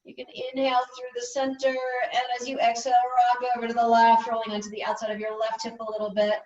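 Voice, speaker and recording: Female yoga teacher, soothing and gently instructive, recorded from a distance with slightly muffled sound. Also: fairly high pitch, monotone, quick pace, echoey room.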